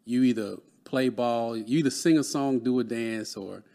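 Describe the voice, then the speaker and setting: A man's voice: mid-range voice, moderate pace, vocal fry, mellow. A man in his late thirties from Dallas, Texas, a guest speaking earnestly and motivationally about mentoring, often adding "man.". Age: 30s. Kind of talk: conversational interview.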